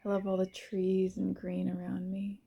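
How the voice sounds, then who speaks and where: A woman gently leading a guided visualization in the first person, soft and unhurried, pausing between short phrases. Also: mid-range voice, monotone, vocal fry, breathy edge, highs cut off.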